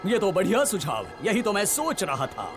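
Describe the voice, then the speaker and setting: A man's voice: sits high, wildly swooping pitch, moderate pace, music in the background. A man voicing a dim-witted, self-important pirate captain villain in a dubbed cartoon, blustering and commanding. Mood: pompous.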